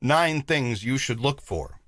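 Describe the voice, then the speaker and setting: Man, bright, forceful, deadpan reading, dry sound. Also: mid-range voice, slow, dry recording. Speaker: co-host. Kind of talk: reading a comic list on a live show.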